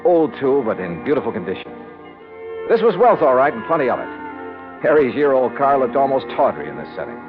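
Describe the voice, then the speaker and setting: An actor playing a brash, wry insurance investigator in a 1950s radio noir drama. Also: male voice, mid-range voice, very animated, brisk, over music, lightly acted. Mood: wry narrating. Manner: wry, flippant, casual. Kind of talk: 1950s radio noir acting and first-person narration. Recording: dull vintage radio recording.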